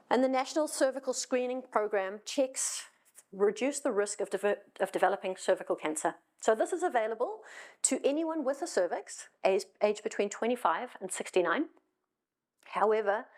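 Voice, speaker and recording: A middle-aged woman from South Africa with a South African accent, giving a conversational talk on stage with wry asides and frequent ums. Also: medium pitch. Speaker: conference speaker. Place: stage in a hall.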